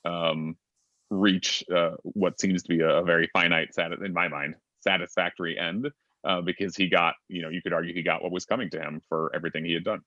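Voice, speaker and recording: A man's voice: low-pitched, steady tone, brisk, sharp tone, no echo. A man on a panel, chatting casually over a video call and filling his talk with ums and sort-ofs. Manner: chatty, full of fillers and hedges. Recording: dull, dry lo-fi audio.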